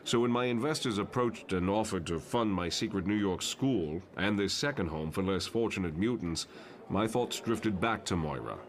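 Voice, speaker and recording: Man playing deep-voiced brooding professor. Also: moderate pace. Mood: regretful. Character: brooding mutant professor, reflective, guilt-ridden father in a wheelchair. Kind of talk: motion comic narration and dialogue.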